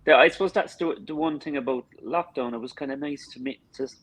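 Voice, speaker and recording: A man's voice: medium pitch, fast talker, fairly bright tone, slightly rough, dry recording. An Irish man in his fifties with a Cork accent, a drummer chatting casually as an interview guest, often repeating and restarting his words. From Cork, Ireland. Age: 50s. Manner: hesitant, repeats and restarts words mid-sentence.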